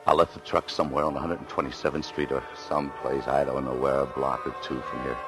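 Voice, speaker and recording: A man's voice: rumbling low, little pitch movement, quick pace. An actor playing a desperate, wounded man on the run, telling his story intensely in a 1940s radio thriller. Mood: pained, weary. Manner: intense, hard-boiled. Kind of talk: 1940s radio thriller acting, narration and dialogue. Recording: remastered 1940s radio, music behind.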